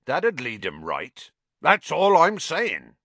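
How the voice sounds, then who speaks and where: A man voicing a huge, hot-tempered, rough-spoken man in an audiobook, with a growling, blustering delivery. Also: fairly high pitch, huge pitch swings, slow, bright, forceful tone, no room sound, voice pushed up, full character voice. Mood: loud, careful. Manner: roaring, growling, rough colloquial speech. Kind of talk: audiobook character acting.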